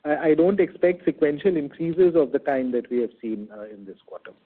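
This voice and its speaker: A man with an Indian accent answering questions as a bank executive on an earnings call, speaking in a halting, measured, hedging way over a phone conference line. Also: a little high, animated, husky, narrow, tinny audio. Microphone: telephone conference call.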